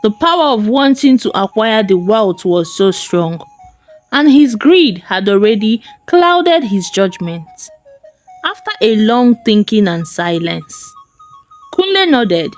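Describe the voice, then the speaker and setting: Slow, expressive woman storyteller. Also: medium pitch. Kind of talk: dramatic scripted folktale narration. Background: faint music.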